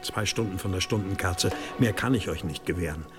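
An actor playing a stern older abbot in an audio drama, speaking gravely and deliberately with commanding authority. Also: male voice, sits low, music in the background, close to an everyday voice. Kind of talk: German audio drama acting.

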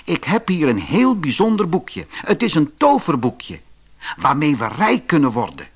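A man's voice: fairly high pitch, wildly swooping pitch, measured pace, breathy edge, exaggerated voice. A man voicing a confident, eager young son in a storybook, instructing and sure of himself. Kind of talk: storybook character voice.

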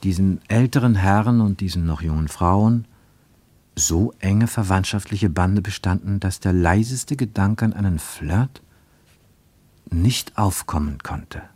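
A man with pronunciation reading literary prose for radio, measured and deliberate, with emphatic phrasing and pauses. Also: low voice, mellow, breathy edge, no echo. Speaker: narrator, reader of literary prose. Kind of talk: scripted literary narration for German radio.

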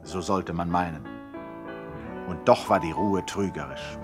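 A man's voice: low-pitched, unhurried. A man narrating a scripted 1960s radio comedy in a wry storytelling manner. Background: instrumental music.

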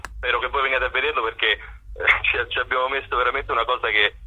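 A husky-voiced man answering conversationally in a radio interview, digressing and correcting himself, on a slightly lo-fi line. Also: medium pitch, steady tone, quick pace, sharp tone. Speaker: actor, interview guest.

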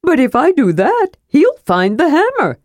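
A woman's voice: on the high side, huge pitch swings, a little slow, a little mellow, no room sound, voice pushed up. A woman voicing an eager, chatty, childlike male kender adventurer in a fantasy audiobook, given to wailing and arguing. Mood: eager, argumentative.

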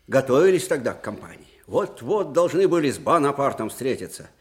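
An actor playing a proud old Russian field marshal, fiery and sardonic, in theatrical, emphatic radio drama style. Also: male voice, medium pitch, very animated, brisk, natural voice. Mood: musing. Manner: theatrical, emphatic, with repeated phrases. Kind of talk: Soviet-era radio drama acting.

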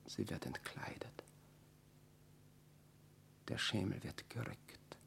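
An actor playing a haunted, horrified lord in a hushed, halting radio drama monologue. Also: male voice, lowish voice, lively intonation, creaky, in a whisper, exaggerated voice. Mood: hushed horror.

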